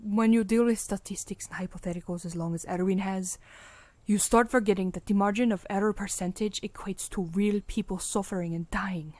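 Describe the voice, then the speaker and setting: A woman's voice: mid-range voice, steady tone, normal speed, a little mellow, no room sound, close to an everyday voice. Firm, motherly medic played by a woman. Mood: grave, weary. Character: caring, firm female medic leader mixing in Spanish. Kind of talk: audio drama acting.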